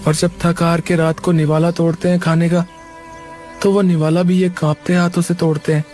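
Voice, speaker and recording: A man's voice: fairly high pitch, little pitch movement, moderate pace, a little mellow, soft background music, lightly acted. A man voicing a humble, polite young laborer in a cartoon. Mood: pleading. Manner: polite, pleading. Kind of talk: cartoon voice acting.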